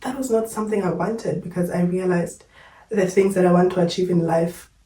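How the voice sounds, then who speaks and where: A woman's voice: lowish voice, fairly even intonation, brisk, warm tone, roomy sound, recorded from a distance. A young Kenyan woman vlogger, unwell and a little short of breath, telling her story in a candid, chatty way. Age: young adult. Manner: chatty, candid, uses fillers like "like" and "yo". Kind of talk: casual personal storytelling to camera.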